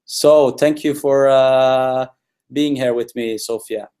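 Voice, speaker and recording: A man hosts an interview over a video call, introducing his guest and asking questions. He talks hesitantly and fills his pauses with long sounds. His voice sits at a middle pitch. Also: fairly even intonation, mellow, clean tone. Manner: hesitant, many uh fillers. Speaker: interview host.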